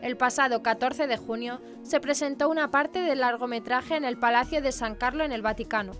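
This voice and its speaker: High-pitched woman reading the news. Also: fairly quick, fairly bright tone, soft background music. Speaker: news presenter. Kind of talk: scripted news narration.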